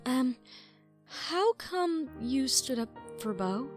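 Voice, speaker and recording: Woman as a hesitant, high-voiced cartoon mare. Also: animated, full character voice. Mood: curious, polite. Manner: tentative, halting, polite. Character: polite, hesitant adult female cartoon pony. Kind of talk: character voice in a fan fiction audio reading. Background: music.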